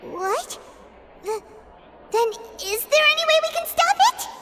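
A woman voicing a small childlike girl companion in a video game, chatty, excitable and expressive. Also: very high voice, a little slow, a crowd in the background. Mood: surprised, curious. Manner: refers to self in third person, expressive. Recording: music behind the voice.

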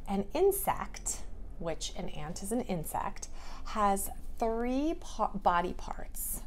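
A woman's voice: mid-range voice, slight background noise. A woman preschool teacher giving a read-aloud lesson for young children, with careful, simple, questioning delivery.

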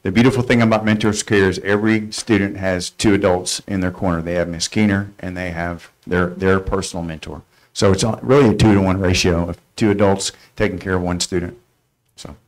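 Low-pitched middle-aged man presenting. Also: steady tone, brisk, low-quality recording. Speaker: presenter from a student mentoring program. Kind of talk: informal presentation answering questions. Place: school board meeting room.

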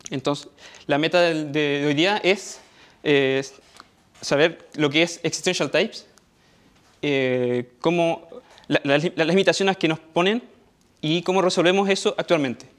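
A man's voice: fairly high pitch, fairly even intonation, measured pace, long pauses, a bit bright. A young Chilean man with a Chilean Spanish accent giving a tech conference talk on stage, hesitant, with many fillers and restarts. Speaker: conference speaker. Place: dark auditorium stage.

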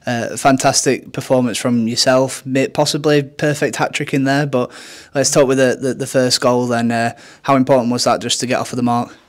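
Mid-pitched male sports interviewer. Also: flat delivery, normal speed, few pauses. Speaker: club interviewer. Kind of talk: post-match sports interview questions. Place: indoors, off camera.

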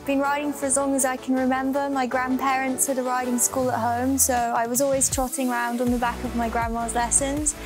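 High-voiced young British woman, even delivery. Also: fairly quick, hardly any pauses. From Great Britain. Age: early 20s. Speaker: show jumping rider. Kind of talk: documentary interview. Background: background music.

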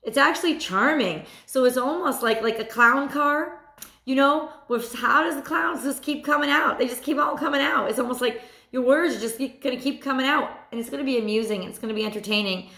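A woman in her thirties with a hoarse voice, giving a chatty weekly tarot reading to a webcam at home. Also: sits high, a little fast, reverberant. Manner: animated, repeats phrases, chatty asides. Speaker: tarot reader. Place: small room at home.

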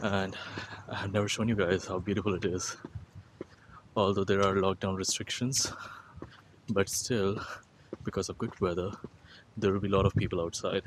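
A man's voice: low-pitched, little pitch movement, moderate pace. A young man giving casual, chatty walking-tour vlog commentary outdoors, thinking aloud as he goes. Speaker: vlogger. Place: outdoors on city streets while walking. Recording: noisy city street, traffic ambience.

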